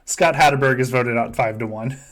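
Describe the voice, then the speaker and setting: A man's voice: medium pitch, fairly quick, a bit bright. A man hosting a podcast, giving casual, joking play-by-play of a game among friends and swearing freely. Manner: joking, foul-mouthed. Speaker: podcast host running a simulated game.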